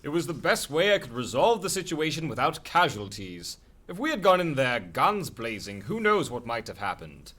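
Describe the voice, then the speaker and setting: Radio drama superhero male actor: earnest swashbuckling hero, bright tone, very animated intonation. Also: medium pitch, moderate pace, few pauses.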